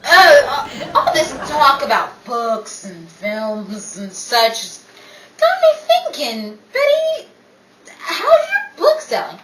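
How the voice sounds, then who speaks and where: A woman's voice: sits high, huge pitch swings, slow pace, recorded from a distance, full character voice. A woman playing an eager, nervously joking 1930s writer in old-time radio comedy. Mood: nervous, cheery. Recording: echoey room, loud audience and noise.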